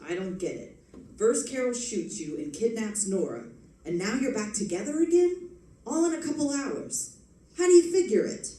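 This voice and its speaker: A woman's voice: on the high side, very animated, normal speed, mellow. A woman playing a wry, suspicious old male police sergeant in an old-time radio detective drama, sardonic and slangy. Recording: echoey, distant stage recording.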